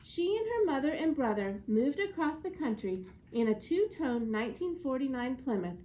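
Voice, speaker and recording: Woman, schoolteacher, storybook read-aloud, high voice, animated intonation, explanatory, faint background noise. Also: normal speed, poor audio.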